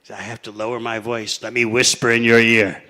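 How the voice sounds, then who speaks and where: A man voicing a mighty male god who lowers his voice to share a secret, speaking softly and slowly in a confiding way. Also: little pitch movement, lightly acted. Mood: hushed, confiding. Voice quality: hushed, lowered voice.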